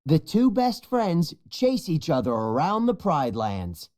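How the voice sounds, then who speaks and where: A storyteller reading a scripted children's storybook in an expressive, measured way. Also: medium pitch. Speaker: storybook narrator.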